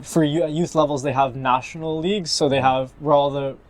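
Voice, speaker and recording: A man's voice: fairly high pitch, fairly even intonation, fairly quick. A young man from Toronto with a Canadian accent, talking casually as an interview guest about himself. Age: young adult. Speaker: guest, university soccer player. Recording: faint background ambient noise.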